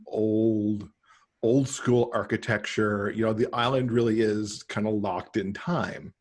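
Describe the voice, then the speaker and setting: Slightly husky middle-aged American man. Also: medium pitch. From Michigan, United States. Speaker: guest author and podcaster. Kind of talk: casual interview chat. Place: indoor room, video call.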